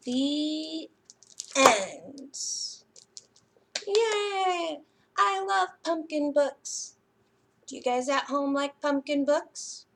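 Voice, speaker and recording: A woman hosting a children's story time, reading and explaining crafts in an expressive, sing-song manner for young listeners. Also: high-pitched, extremely slow, vocal fry, pauses often, slight background noise. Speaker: library story time host. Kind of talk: children's picture book reading and craft demonstration.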